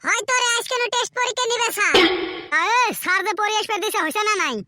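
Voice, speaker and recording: Brash dim cartoon schoolkid, very high squeaky voice, rapid talk, comic backtalk. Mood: brash, bantering. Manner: brash backtalk in rustic village dialect. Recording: very noisy homemade cartoon dub.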